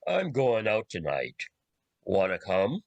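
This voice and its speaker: Man as a slow, creaky, laid-back dude. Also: fairly low pitch, huge pitch swings, no echo, lo-fi sound, full character voice. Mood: nonchalant. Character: laid-back shirtless man, casual and terse. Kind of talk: dramatic radio play acting.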